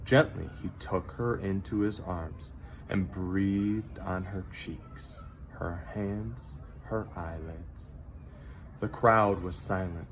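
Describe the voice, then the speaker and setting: A man reads a children's story aloud in an expressive, dramatic storyteller manner, pausing for effect. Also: deep voice, a little hiss or hum. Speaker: teacher reading a picture book aloud.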